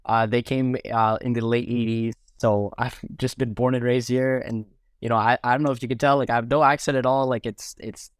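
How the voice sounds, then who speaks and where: A young man in his twenties with a Canadian accent talks casually as an interview guest. His voice is smooth and clear at a medium pitch. The sound is dry, with almost no room echo. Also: flat delivery, a little fast. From Toronto area, Canada. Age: 20s. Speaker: podcast guest, food blogger.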